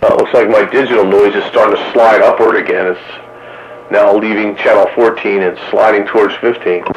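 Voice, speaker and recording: Low-voiced American man on staticky radio. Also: fairly even intonation, normal speed, dull audio, slight background noise. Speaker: radio hobbyist in a group chat. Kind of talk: casual two-way radio chat. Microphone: two-way radio, recorded from a receiver stream.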